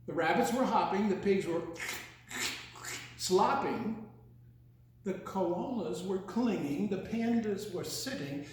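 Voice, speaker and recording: A man's voice: sits high, animated, a little hiss or hum. An older man reading a picture book aloud to children in a theatrical, singsong way, with teasing asides. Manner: theatrical, singsong, drawn-out delivery with teasing questions. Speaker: children's storyteller. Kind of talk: read-aloud picture-book story with playful asides to young listeners. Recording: very echoey, reverberant room.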